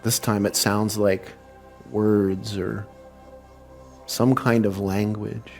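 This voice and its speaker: A man with a fairly low voice leads a guided meditation. He speaks in unhurried, soothing phrases and leaves long pauses between them. Music plays clearly behind his voice. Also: flat delivery. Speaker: meditation leader and spiritual speaker.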